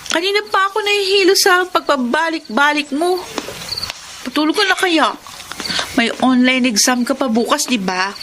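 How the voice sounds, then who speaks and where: An actress playing a sharp-tongued, practical wife who nags her husband about money and chides her younger sibling. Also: sits high, animated, moderate pace. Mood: chiding. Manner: nagging, scolding. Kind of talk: classic radio drama acting. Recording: noisy old radio drama recording.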